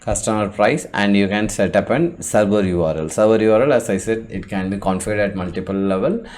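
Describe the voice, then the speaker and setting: Low-voiced man giving a halting software tutorial. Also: slight background noise. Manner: halting, repeats words and phrases. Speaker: tutorial presenter. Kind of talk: unscripted software walkthrough.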